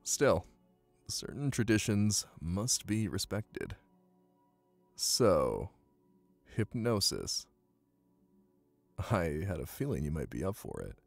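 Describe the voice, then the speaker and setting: A man plays a young hypnotist classmate with a low voice full of heavy vocal fry. He is confident and dominant, guiding in a soft, soothing ASMR manner. His delivery is slow and deliberate. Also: sing-song, no room sound, faint music behind, heavily acted. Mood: casual, teasing. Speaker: voice actor.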